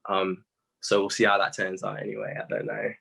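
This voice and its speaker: A young British man with a low-pitched, bright and forward voice. He chats casually, like a musician in an interview, using slang freely and reflecting candidly on himself. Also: monotone, a little fast, vocal fry, husky. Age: late teens.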